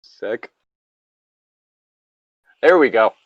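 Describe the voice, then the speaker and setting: A man's voice: medium pitch, animated, very slow, breathy edge, no room sound, highs cut off. A man reading a comic story casually, breaking off into jokey asides and banter. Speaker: co-reader of an internet story.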